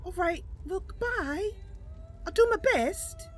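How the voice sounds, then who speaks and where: A woman's voice: very high-pitched, lively intonation, slow pace, faint music behind, pitched above the performer's own voice, heavily acted. A woman voicing a timid, earnest young female wood elf who wants to be a knight, in a hesitant, polite roleplay game voice. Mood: nervous, determined.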